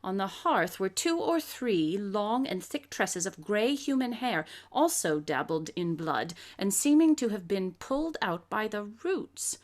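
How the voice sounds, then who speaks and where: Clever female detective, radio drama, self-assured, precise, methodical, expressive intonation. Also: mid-range voice, unhurried, few pauses. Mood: intent reading. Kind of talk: live radio drama acting.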